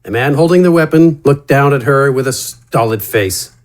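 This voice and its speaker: A man narrating a scripted fantasy story in a dramatic, deliberate storytelling manner. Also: medium pitch.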